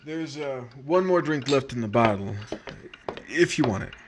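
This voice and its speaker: A man playing an earnest, awkward, brooding suitor in an old-time radio romance, speaking haltingly. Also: medium pitch, expressive, a little hiss or hum, lightly acted. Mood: hesitant. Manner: hesitant, halting.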